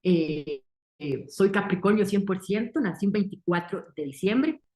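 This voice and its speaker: A Costa Rican woman in her forties with a Costa Rican accent, giving an earnest success-story testimonial in short, deliberate, emphatic phrases. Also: medium pitch, expressive, darkish tone. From Costa Rica. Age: 40s. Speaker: marketing entrepreneur giving a testimonial. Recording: roomy echo.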